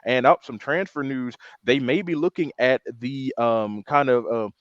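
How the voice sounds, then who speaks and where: A man co-hosts a sports podcast, reporting in a loose, halting way full of restarts. His voice is mid-pitched and comes through dry and slightly muffled. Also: no room sound. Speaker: co-host giving injury updates. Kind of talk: casual sports podcast chat.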